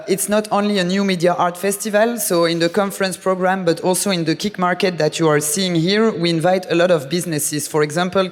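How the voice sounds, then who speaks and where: A man with a Belgian French accent, an artistic director giving a conference presentation with frequent hesitant fillers. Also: high-pitched. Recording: reverberant hall.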